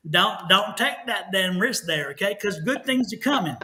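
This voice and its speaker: A man's voice: high voice, lively intonation, normal speed, pushed and bright. A man with a Texan accent giving live tarot readings in a folksy, rambling way, often stopping to correct himself. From Texas. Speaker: tarot reader and show host. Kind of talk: live-stream tarot reading, casual chat.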